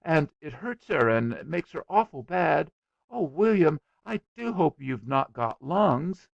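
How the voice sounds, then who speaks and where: A naive little storybook girl, put on by a male narrator, pitched up and lilting. She is tender and anxious, with an earnest, childlike way of asking. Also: sing-song, slow, creaky, dry recording, dull audio, full character voice. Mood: anxious, sympathetic.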